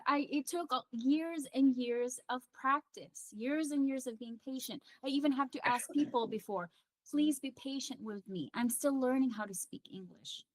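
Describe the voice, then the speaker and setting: Woman, Pangasinan first language, Filipino-raised chat-show co-host; fairly high, dry close sound, reflective advice-giving delivery. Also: no echo, poor audio. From Philippines. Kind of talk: casual chat.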